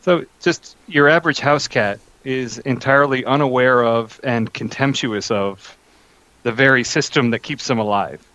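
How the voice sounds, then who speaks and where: A man's voice: medium pitch, normal speed, pushed and bright, dry recording. Dull-sounding lo-fi man in casual chat. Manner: conversational, checks in with rhetorical questions. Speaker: co-host or guest commentator. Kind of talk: casual chat, explanatory commentary. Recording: dull, slightly lo-fi voice link.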